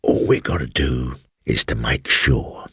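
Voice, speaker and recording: A male reader plays an elderly working-class English manservant in a radio ghost story. The voice is low and very rough, close and dry. He is sly and brooding, with slow, insinuating phrasing that swings widely in pitch. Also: a bit bright, no echo, heavily acted. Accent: English, rustic working-class. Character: sly elderly former manservant, evasive and brooding, recounting a haunting.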